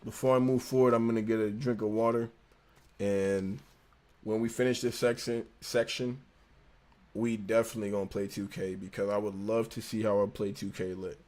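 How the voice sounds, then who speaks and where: A man's voice: lowish voice, level tone, moderate pace, a little mellow. A young man with a slight lisp, hosting a casual livestream and reading an engineering textbook aloud, stumbling and rereading lines. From United States. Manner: laid-back, slangy, stumbles and rereads lines. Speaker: livestream host studying engineering.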